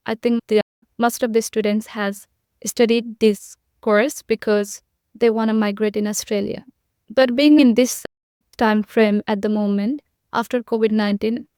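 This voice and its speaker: Young woman from nepal on a podcast, earnest and a bit rambly, clear smooth voice. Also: medium pitch, a little mellow, no echo, studio quality. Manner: earnest, repetitive phrasing with frequent fillers. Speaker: podcast guest. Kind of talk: conversational interview answers.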